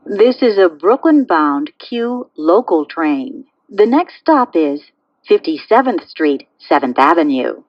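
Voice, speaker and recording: A woman making pre-recorded subway train announcements in a measured, formulaic way. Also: fairly low pitch, very animated, dry recording, lo-fi sound. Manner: measured, clearly phrased, formulaic delivery.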